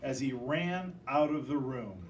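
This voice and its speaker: Expressive male storyteller, animated, distant mic. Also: mid-range voice, unhurried, reverberant. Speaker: storybook reader. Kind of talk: children's picture book read-aloud. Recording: distant microphone with faint background noise.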